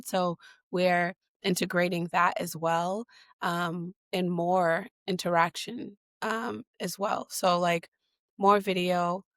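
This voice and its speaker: Low-voiced woman with many fillers. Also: dry recording, clean studio sound. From Boston. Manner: hesitant, many fillers and restarts. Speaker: guest, digital equity leader. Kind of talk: conversational interview answers.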